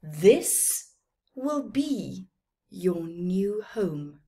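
A woman voicing a kindly, concerned male desert merchant from a children's storybook, gentle and emphatic. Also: mid-range voice, very animated, extremely slow.

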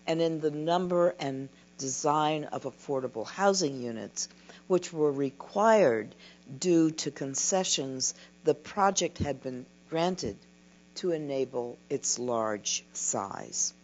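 An older woman giving a keynote lecture from a podium, reading a prepared talk in a slow, measured way. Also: sits low, expressive, a little mellow. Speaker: keynote speaker. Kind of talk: scripted conference lecture. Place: dim lecture hall at a podium. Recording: dull lo-fi recording with mains hum.

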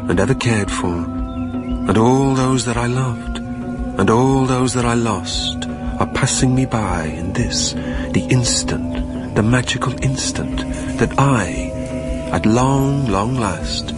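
An actor as a slow, haunted, wistful man. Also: medium pitch, lightly acted. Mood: awed, elegiac. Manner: hushed, deliberate, poetic delivery. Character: haunted, wistful man facing his own death. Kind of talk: intimate radio drama monologue. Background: music.

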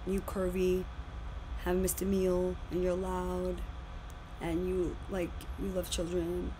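A woman's voice: fairly low pitch, takes it slowly. A woman teacher in her thirties with a big voice, lecturing her class animatedly over a video call. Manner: animated, repeats and restarts phrases. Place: home room, indoors. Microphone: webcam on video call. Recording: noisy webcam audio.

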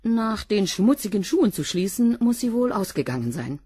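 A woman's voice: medium pitch, very animated, normal speed, mellow, breathy edge, no echo. An actress playing a cleaning woman in a radio play, answering in a dry, matter-of-fact way. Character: blunt wry cleaning woman witness.

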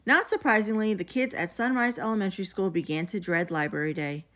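A woman giving an animated, expressive read-aloud of a children's picture book. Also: mid-range voice, brisk. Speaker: storybook reader. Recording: dull, lo-fi sound.

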